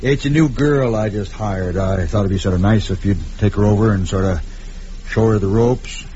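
A man's voice: lowish voice, normal speed, mellow, natural voice. Genial old West barkeep, muffled radio sound male actor. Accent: American. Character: kindly, hospitable saloon keeper in old West. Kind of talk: 1950s radio western acting. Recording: dull, noisy vintage radio recording.

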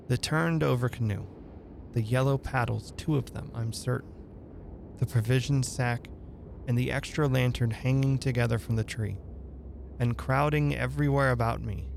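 A man giving a theatrical, suspenseful dramatic narration of a classic eerie story, with a gravelly, creaky voice. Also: mid-range voice, slight background noise. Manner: theatrical, suspenseful delivery with emphatic swells. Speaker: reader and host of a dramatic reading radio show.

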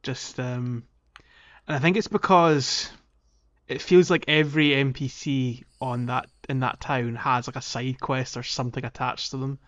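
A man's voice: medium pitch, steady tone, a little slow, fairly bright tone, muffled recording. A man hosting a casual gaming podcast, often searching for his words. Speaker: podcast host.

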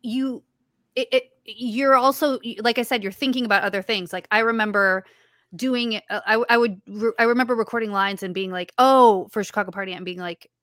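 A woman's voice: a little high, fairly even intonation, a little fast, fairly bright tone, dry recording, clean studio sound. A woman with a childlike voice, chatting as a guest in an interview about her writing and voice work, often restarting her sentences. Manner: chatty, with frequent restarts and fillers. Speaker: guest, writer and voice actor. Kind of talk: casual interview chat.

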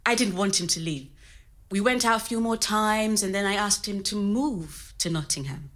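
Bright-voiced immigrant woman, played by an actress. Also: mid-range voice, normal speed, natural voice. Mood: tender, reflective. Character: frank, creative immigrant woman telling her story. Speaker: actor in a scripted audio story. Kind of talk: naturalistic conversational storytelling.

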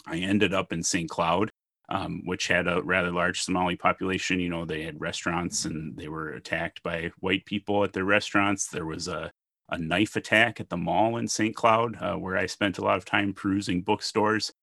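A man from Minnesota hosting a podcast, measured as he reads a formal guest introduction and then asks questions. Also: low voice, flat delivery, vocal fry, pushed and bright, dry recording, studio quality. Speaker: podcast host.

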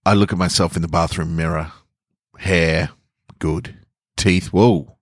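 A man's voice: low-pitched, fairly even intonation, measured pace, husky, no room sound, clean studio sound. A man hosting a storytelling podcast, moving between chatty introduction and dramatic first-person fiction narration. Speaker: podcast host and fiction writer reading own stories.

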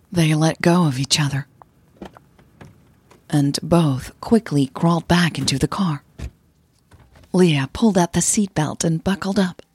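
A woman narrating a scripted audio drama in a soft, breathy delivery. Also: low voice, no room sound.

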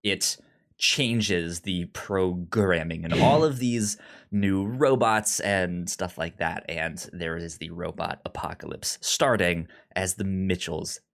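A man's voice: sits low, takes it slowly, a bit bright. A man hosting a podcast in a casual, conversational way, often stammering and repeating syllables as he goes. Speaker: podcast host.

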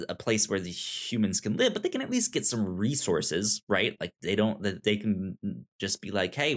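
A man co-hosting a casual book discussion podcast, thinking aloud and often repeating words as he goes. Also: fairly low pitch. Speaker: podcast co-host reviewing manga.

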